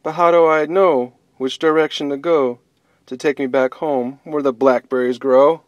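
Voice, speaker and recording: A man's voice: a little high, fairly even intonation, moderate pace, no room sound, muffled recording, voice pushed up, lightly acted. A man doing a timid blind girl bunny. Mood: worried.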